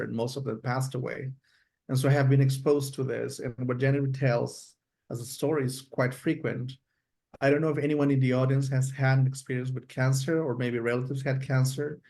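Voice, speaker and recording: A man's voice: medium pitch, darkish tone. A man from Peru with a Peruvian Spanish accent, co-hosting an online workshop and inviting his partner to speak. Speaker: workshop co-host.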